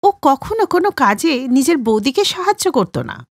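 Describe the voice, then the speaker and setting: A woman's voice: high-pitched, sing-song, normal speed, dry recording, studio quality. A woman narrating a scripted cartoon story.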